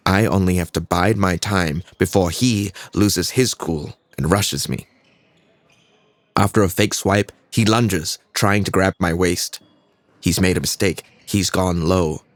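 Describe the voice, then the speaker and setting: An actor playing an earnest young fighter narrating his own story in a measured way, with a Singaporean accent. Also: deep voice, no echo. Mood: tense, focused. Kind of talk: scripted first-person audio drama narration.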